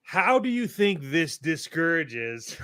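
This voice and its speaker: A man chats as a podcast co-host in a slightly high, forceful voice. His manner is blunt and slangy. The recording is close and dry. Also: big pitch swings, slow pace, clean tone, no echo. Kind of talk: casual podcast chat.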